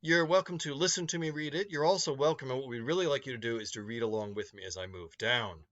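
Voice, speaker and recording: A man's voice: medium pitch, fast talker, fairly bright tone, highs cut off. A man reading a story aloud to students as a teacher, in a read-along narration.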